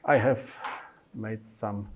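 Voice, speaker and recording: A man in his fifties whose first language is Polish, presenting his book with many pauses, fillers and restarts in non-native phrasing. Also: fairly low pitch, fairly even intonation, a little hiss or hum. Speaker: consultant pediatrician.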